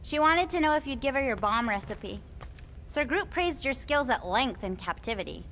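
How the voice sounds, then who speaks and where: A woman's voice: high voice, expressive, normal speed, fairly bright tone, slight background noise. An actress playing a quirky, otherworldly bug-like alien woman in a video game, whimsical and earnest. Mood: earnest, dreamy.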